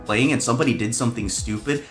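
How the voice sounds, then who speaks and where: A man chatting casually on a livestream, expressive and amused as he shares anecdotes with a friend. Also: mid-range voice, quick pace. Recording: stream audio with music behind. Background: background music.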